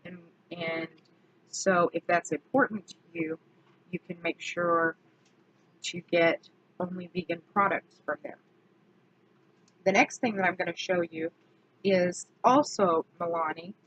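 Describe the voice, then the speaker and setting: Woman rambling slowly about makeup, bit hoarse, fixes her own words a lot, muffled sound. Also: on the low side, steady tone, fairly bright tone. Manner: chatty, self-correcting, slow and deliberate. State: slightly hoarse from allergies. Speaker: beauty vlogger. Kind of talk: casual product review.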